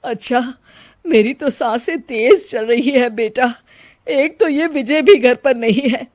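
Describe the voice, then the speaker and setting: A woman's voice: sits high, slow, dry recording, clean studio sound. A woman voicing an anxious, affectionate older mother-in-law in a cartoon, with a fretful way of talking.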